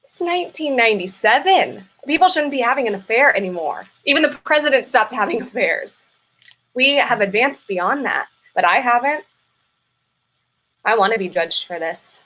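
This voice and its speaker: A woman's voice: on the high side, a bit bright, rough recording. A woman playing a guilt-ridden, dramatic mistress in a comedic play reading, earnest and emphatic as she confesses. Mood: agitated, self-critical. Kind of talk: live play reading, comedic stage acting.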